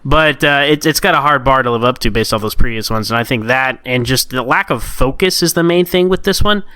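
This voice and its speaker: A man with a forward, bright voice talks through a casual review. His delivery is clean and smooth, in a very dry recording with no room sound. He comes across as chatty and conversational. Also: mid-range voice, fairly quick, dry recording.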